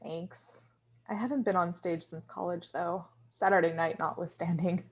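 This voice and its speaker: A woman's voice: on the low side, fairly even intonation, normal speed, husky. A woman playing a nervous, sardonic young office worker in a screenplay table read, speaking in a casual, wry way. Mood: wry, tentative.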